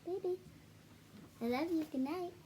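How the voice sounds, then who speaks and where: A woman's voice: very high-pitched, moderate pace, dark tone. A woman reading a storybook aloud, stumbling over and repeating words, with casual asides. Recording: noisy home recording.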